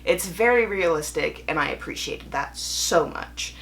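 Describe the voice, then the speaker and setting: A young woman with a fairly low-pitched voice talks as a book reviewer hosting her own video. Her delivery is casual and conversational, candid and chatty, and she corrects herself as she thinks aloud. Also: lively intonation, a little slow, gravelly, fairly bright tone, rough recording. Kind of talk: casual chatty vlog monologue.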